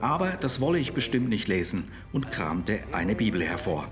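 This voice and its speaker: A man's voice: fairly low pitch, very animated, moderate pace. A man giving an earnest voice-over translation of a personal testimony. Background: music.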